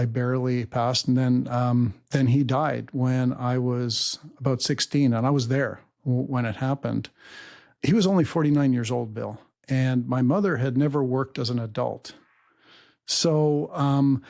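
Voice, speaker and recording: A middle-aged man, a native German speaker raised abroad, recounting his family history in a measured, reflective way with frequent pauses and fillers. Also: mid-range voice, vocal fry, darkish tone. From Canada. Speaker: podcast host and guest. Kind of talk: personal storytelling interview.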